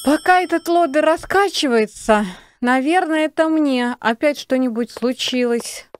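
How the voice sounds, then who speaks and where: A woman's voice: high-pitched, measured pace. A woman playing a reproachful, bossy mother in a comic audio play, chiding and instructive. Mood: fussy.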